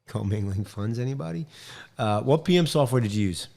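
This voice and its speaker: A middle-aged man hosting an interview podcast from a home studio, upbeat and joking, with quick interjections into a studio mic. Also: mid-range voice, expressive, vocal fry, darkish tone. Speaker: podcast host. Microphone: studio mic on boom arm, headphones.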